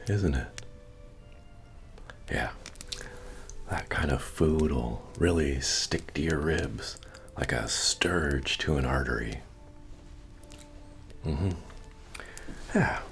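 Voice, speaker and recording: A man playing a friendly, humble medieval innkeeper and retired adventurer in a soft-spoken, folksy ASMR fantasy roleplay, with hesitant murmuring. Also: exaggerated voice. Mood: friendly, joking. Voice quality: deep, gravelly, often breathy or whispered. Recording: music under the voice. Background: medieval bard music.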